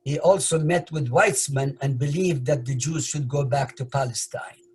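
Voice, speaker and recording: An older man from Iraq giving deliberate, emphatic commentary in a measured way, repeating key phrases. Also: medium pitch, slightly rough.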